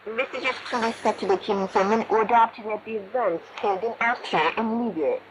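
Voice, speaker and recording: A woman radio announcer reading a formal, solemn news bulletin in a measured way, heard over shortwave radio. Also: fairly high pitch. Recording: noisy shortwave radio reception with static. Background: shortwave static and noise.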